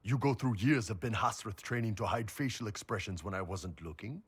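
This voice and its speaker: Husky, low-voiced brute mercenary captain. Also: male voice, fairly quick. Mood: wry, matter-of-fact. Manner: blunt, casual, wry, swearing. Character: big gruff male horned mercenary captain, blunt and wry. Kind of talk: video game voice acting.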